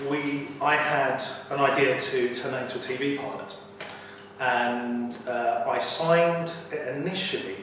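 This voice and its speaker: Booming man in an echoey hall. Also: slight background noise. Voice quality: loud booming voice. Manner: hesitant, with fillers. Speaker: novelist. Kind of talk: casual interview answers. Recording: very echoey hall, heard from a distance.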